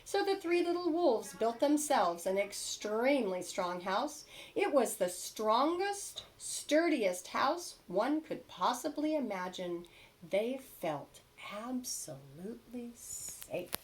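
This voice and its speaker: A woman reads a storybook aloud for children. Her voice is fairly high and very lively in pitch, with emphatic stresses and dramatic pauses. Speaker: storybook reader.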